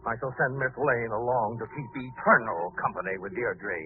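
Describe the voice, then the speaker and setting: An actor playing a suave, gloating murderer with mocking, elaborate courtesy in an old radio drama. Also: male voice, mid-range voice, a little fast, natural voice. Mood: defiant menace. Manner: mannered, theatrical, elaborately polite wording. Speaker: actor in a radio mystery serial. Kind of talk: old-time radio villain acting. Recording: tinny, noisy old radio recording.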